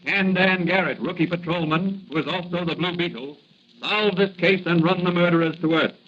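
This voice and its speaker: A man's voice: high voice, normal speed. A man narrating a 1940s radio serial in a formal, dramatic scripted style. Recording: muffled old radio recording.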